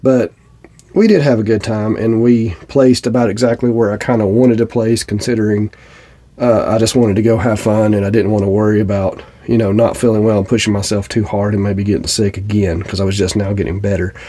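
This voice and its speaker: Middle-aged man, Southern US accent, lowish pitch, soft mellow tone, slightly congested, folksy casual reviewer. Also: level tone, fairly quick. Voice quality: slightly congested, stuffy-nosed. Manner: plainspoken, folksy. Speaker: shooting channel host. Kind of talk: casual gun review talk to viewers.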